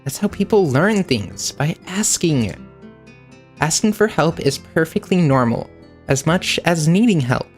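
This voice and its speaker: A loud, cheerful schoolgirl full of eager bounce, put on by a man reading aloud. She talks fast and friendly, her voice lifted high above a plain speaking range. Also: lively intonation, soft background music. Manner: bouncy, eager, laughs loudly.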